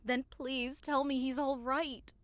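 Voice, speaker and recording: A woman's voice: high voice, little pitch movement, slow pace, a bit bright, no echo, studio quality. A woman playing a passionate, scornful young lover in an audio drama, with emphatic, dramatic delivery. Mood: angry, scornful. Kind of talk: theatrical audio drama acting.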